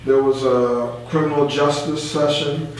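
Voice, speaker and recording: Man in a very echoey room, speaking haltingly. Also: a little high, steady tone. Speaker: community board member and guest. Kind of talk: earnest discussion on a radio talk show. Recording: very echoey room, distant mic, faint hum.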